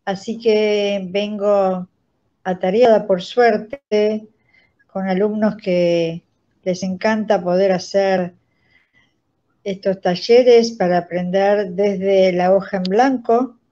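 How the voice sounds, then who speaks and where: An older woman with an Argentine accent, explaining things as an interview guest in a measured, didactic way. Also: mid-range voice, steady tone, mellow. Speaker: guest, radio theatre director and writing teacher. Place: indoors, plain room on a video call. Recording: dull lo-fi video call audio.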